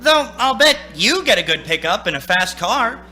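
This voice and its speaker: A man's voice: sits high, sing-song, normal speed, sharp tone, heavily acted. An actor playing a haunted, anxious young male driver in a radio play, speaking in a stammering, urgent, theatrical way. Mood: uneasy, probing. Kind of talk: theatrical radio play acting with first-person narration. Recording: stage radio play with music.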